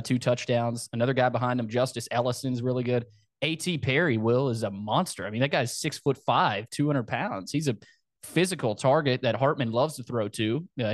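American guy hosting a football pod, casual chat, normal pitch, really dry close sound. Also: no echo. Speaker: sports podcast host.

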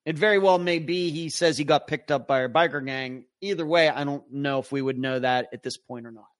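A man's voice: on the high side, fairly quick. A man hosting a live podcast, reading out listener comments and answering them in a casual, rambling way. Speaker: podcast host.

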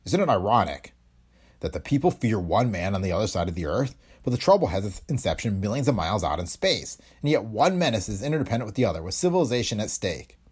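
A man's voice: on the low side, very animated, fast talker, a little mellow, slightly rough. A man voicing a grim, urgent professor in an audiobook, with a dramatic manner. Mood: grave. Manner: dramatic, alarmed exclamations. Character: grim, urgent male professor facing catastrophe.

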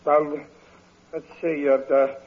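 A man's voice: a little high, flat delivery, slow. A man acting in an old-time radio comedy as a bumbling, stammering working-class fellow posing as a doctor. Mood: flustered. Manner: stammering, blustering, evasive. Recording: muffled, noisy vintage radio broadcast.

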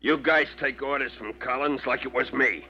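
An actor playing a huge, hard-headed, bullying tugboat captain with blustering, ungrammatical tough talk in an old radio drama. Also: male voice, medium pitch, moderate pace, close to an everyday voice. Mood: gruff, bossy. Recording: noisy, muffled vintage radio recording.

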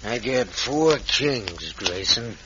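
An actor playing a cold, domineering gambler with a folksy western drawl in an old radio drama. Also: male voice, medium pitch, expressive, slow. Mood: cool, demanding. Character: crooked hawk-faced gambler, tall, cold and domineering. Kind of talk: 1940s-50s radio western acting. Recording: muffled, noisy vintage radio recording.

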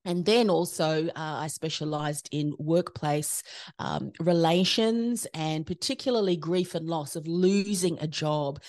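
A middle-aged Australian woman, a podcast host being interviewed, telling her career story in a reflective, steady way with soft fillers. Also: deep voice, measured pace, no room sound. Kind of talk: casual conversational interview.

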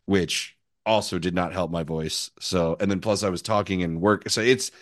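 A man with a hoarse, worn voice, co-hosting a casual sports podcast and needling his friend. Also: low-pitched, steady tone, moderate pace, no room sound, studio quality. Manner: teasing, needling his co-host. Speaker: podcast co-host.

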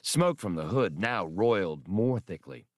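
A man's voice: sits low, lively intonation, a little slow, dry recording, clean studio sound. A man reading an adventure novel as scripted, dramatic audiobook narration.

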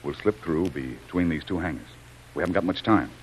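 An actor playing a resolute, commanding adventurer in a vintage radio serial, with firm, declamatory delivery. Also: male voice, sits low, animated, a little fast, low-quality recording. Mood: cautious, commanding. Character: Jim, resolute heroic adventurer, commanding and brave. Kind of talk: 1930s-40s radio adventure acting. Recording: hissy old radio recording.

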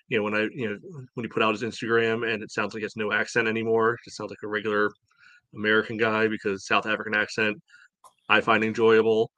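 A man's fairly low voice, recorded dry with little room sound. He talks informally and a bit wryly, as a panelist on a sports podcast. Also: bright, forceful tone, dry recording. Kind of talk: casual sports podcast discussion.

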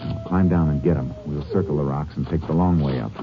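A seasoned, stern Texas lawman in a 1950s radio western. His American voice is extremely low, clipped and commanding. The recording is muffled vintage radio with music around it. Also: fairly quick. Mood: calm, deliberate. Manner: terse, clipped, authoritative. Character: stern, shrewd male Texas Ranger, a seasoned tracker. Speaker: lead actor and narrator.

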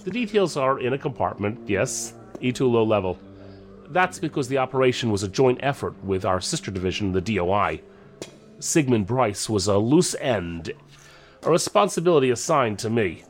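An actor playing a self-assured, guarded counterterrorism agent in an audio drama, formal and deliberate with dramatic pauses. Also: male voice, mid-range voice, very animated, soft background music, lightly acted. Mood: guarded, official.

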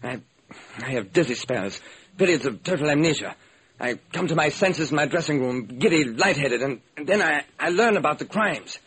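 A man voices a middle-aged movie heavy afraid of himself. The delivery is melodramatic radio acting, nervous and halting, with wide swings of pitch and some huskiness. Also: animated, a bit bright, husky, heavily acted, low-quality recording. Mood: frantic. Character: nervous, haunted middle-aged male horror movie villain actor. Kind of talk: classic radio drama acting.

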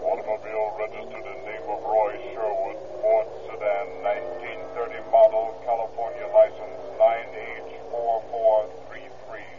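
An actor playing an official reading out a teletype message in an old radio drama, telegraphic and monotone. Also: male voice, fairly low pitch, normal speed, few pauses, natural voice. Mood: impersonal. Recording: distant, phone-like radio recording, loud noise.

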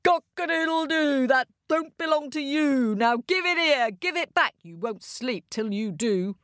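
A bold, cheeky little rooster from a children's story. The voice is high and very lively, with drawn-out crows and defiant sing-song demands. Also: female voice, dry recording, studio quality. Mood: defiant, insistent. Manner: shrill drawn-out crowing and chanting rhyme.

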